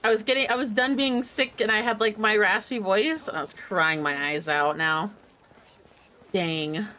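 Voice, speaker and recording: A woman's voice: mid-range voice, normal speed, pushed and bright. A woman streaming a game with casual, slangy, offhand commentary and exclamations. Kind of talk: casual live playthrough commentary.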